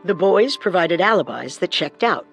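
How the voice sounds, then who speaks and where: A man with a fairly high voice narrates a scripted true-crime story as co-host. He explains details in a storytelling way, with soft music in the background. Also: animated, moderate pace, vocal fry, low-quality recording.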